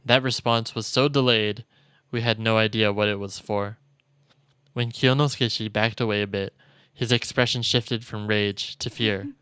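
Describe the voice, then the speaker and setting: Bright, crisp male streamer reading aloud. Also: lowish voice, steady tone, moderate pace, no echo. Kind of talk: expressive read-aloud of visual novel narration during a game stream. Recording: dry close mic, faint game music.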